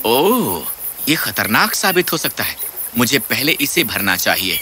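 A man voicing a humble, earnest working man in a children's cartoon fairy tale, polite and expressive. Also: mid-range voice, music in the background, natural voice. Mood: concerned.

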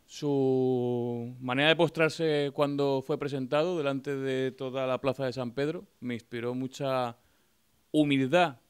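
A man in his thirties with a smooth, clear voice at a middle pitch. He gives casual interview answers into a handheld microphone in a small office. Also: fairly even intonation, unhurried. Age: 30s. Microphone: handheld reporter microphone.

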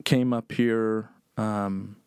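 A man's voice: fairly low pitch, fairly even intonation, extremely slow, a little mellow, clean tone, no echo. A man from Pennsylvania, a chef and podcast guest, telling family stories in a loose, rambling way with many fillers. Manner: rambling, many "um"s, laid-back storyteller. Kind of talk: casual storytelling.

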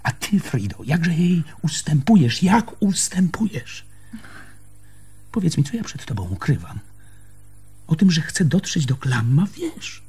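An actor playing a stubborn, defensive man in a radio play, arguing haltingly and insistently with his lover in a husky voice. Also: fairly high pitch, big pitch swings, darkish tone, exaggerated voice. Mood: indignant. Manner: halting, insistent, repeats phrases. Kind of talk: Polish radio play acting.

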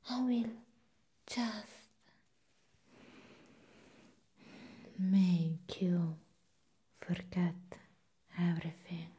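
A woman playing a crazy, playful, hypnotic vampire nurse in a breathy whispered ASMR roleplay, close to the microphone. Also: lowish voice, dark tone, exaggerated voice. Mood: gleeful, menacing. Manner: slow, drawn-out, teasing delivery.

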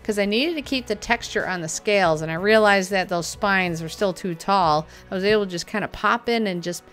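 This is a middle-aged woman in a home workshop, chatting casually about a carving tool. Her voice sits at an ordinary pitch and she sounds upbeat and unpolished, with fillers and easy laughter. Soft music plays faintly behind her. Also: brisk. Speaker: prop maker reviewing a tool. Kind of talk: casual product review with voice-over. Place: home workshop studio, indoors.

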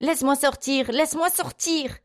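Woman voicing a bratty, shrill young boy. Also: moderate pace, close to an everyday voice. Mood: terrified, pleading. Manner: shouting and whining. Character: defiant bratty young boy, wailing when scared.

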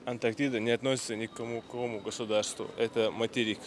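A man in his twenties giving quick, flat answers to street quiz questions. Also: medium pitch, crowd noise. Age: 20s. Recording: street noise clearly heard.

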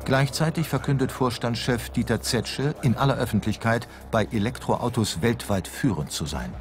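A man's voice: medium pitch, moderate pace. A man narrating a documentary in a probing, questioning tone. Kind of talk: scripted narration. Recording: voice-over over music.

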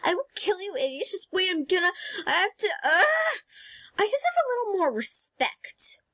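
Woman voicing an indignant, high-pitched ranting flower. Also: sing-song, normal speed, fairly bright tone, exaggerated voice. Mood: furious, indignant. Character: angry, self-important talking flower, indignant and threatening. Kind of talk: amateur comic dub.

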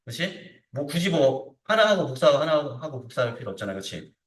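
A male instructor walks through a practical software lesson in a casual, checking-in way. His voice sits a little high and swings in lively rises and drops. It is picked up from a distance in a roomy, echoing space. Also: fairly quick. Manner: informal, repeatedly checks understanding with short tag questions. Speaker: CAD instructor. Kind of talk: hands-on software tutorial lesson. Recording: roomy echo, distant microphone.

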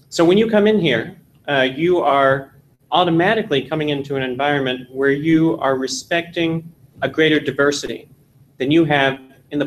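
A man from Texas in his forties, giving an animated classroom lecture and telling jokes in a seminary meeting room. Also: mid-range voice, a little hiss or hum, low-quality recording. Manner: animated storytelling with jokes, gesturing. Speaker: seminar instructor.

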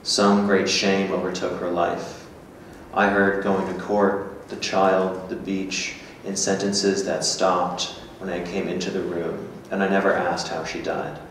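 A man's voice: low voice, level tone, measured pace. A man performing a brooding, guarded, melancholy character in a staged literary reading. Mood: reminiscing. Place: small gallery room before an audience. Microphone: stand microphone, heard from a distant camera. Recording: echoey room, distant mic, faint noise.

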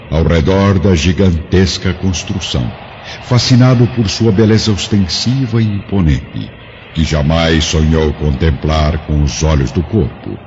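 A man reading scripted dramatic narration as a narrator, solemn and measured. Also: sits low, a little mellow, a little hiss or hum. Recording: dull audio with music behind.

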